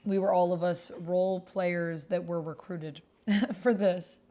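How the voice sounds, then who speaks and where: A woman's voice: fairly low pitch, steady tone, measured pace, mellow. A woman hosting a livestreamed text roleplay game, chatting directly with viewers and reading posts aloud.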